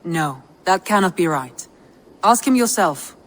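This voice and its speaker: Low-voiced, sober clan adviser. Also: female voice, expressive. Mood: practical. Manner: measured, matter-of-fact briefing. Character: sober, businesslike female clan scout adviser. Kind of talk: video game character acting.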